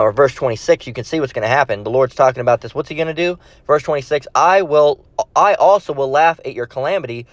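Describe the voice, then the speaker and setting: A man's voice: medium pitch, no echo. A man preaching off the cuff in a blunt, slangy, confrontational manner, often repeating himself and tagging phrases with short check questions. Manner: slangy, tags phrases with "all right?" and "okay?". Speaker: street-style Bible preacher. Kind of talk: informal impromptu sermon with scripture reading. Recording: dull, dry lo-fi sound.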